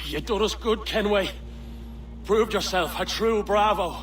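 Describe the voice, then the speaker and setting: An actor playing a sneering pirate traitor who mocks in a drawling way. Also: male voice, high-pitched, measured pace, fairly bright tone. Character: sneering pirate turned Templar ally, man. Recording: distant game audio with faint hum.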